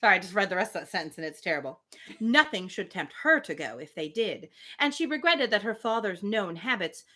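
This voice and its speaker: Female book-reading host, expressive and swooping in pitch, crisp forward tone, informal commentary between passages. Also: fairly quick. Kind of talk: reading a novel aloud with casual asides.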